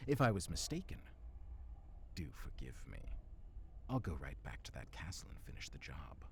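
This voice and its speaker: A man playing an eloquent, menacing villain lord in an audio roleplay, speaking with measured, condescending mock courtesy. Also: sits low, big pitch swings, a little hiss or hum. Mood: quietly threatening. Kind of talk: dramatic audio roleplay acting.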